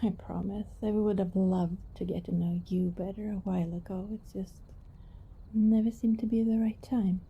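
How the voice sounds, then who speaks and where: A woman playing a shy, secretive young vampire neighbor in an ASMR roleplay, speaking softly, hesitantly and apologetically. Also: medium pitch, steady tone, normal speed, lo-fi sound. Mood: nervous pleading. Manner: hesitant, soft-spoken, apologetic, breathy.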